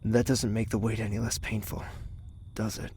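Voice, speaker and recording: A man playing a pensive young royal heir in an audio drama, gentle, self-doubting and softly hesitant. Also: on the low side, little pitch movement, normal speed, a little hiss or hum, natural voice. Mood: quiet, curious.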